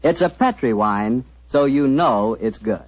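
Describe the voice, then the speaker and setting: A man with an American accent announcing and pitching for a sponsor in a folksy 1940s radio style. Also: lively intonation, measured pace, over loud music. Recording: muffled noisy 1940s radio recording.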